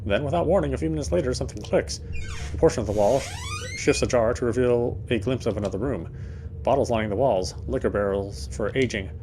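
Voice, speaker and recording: A man's voice: medium pitch, a little fast, dark tone. A man playing a visual novel, reading its narration aloud in a dramatic storytelling style. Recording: faint background noise.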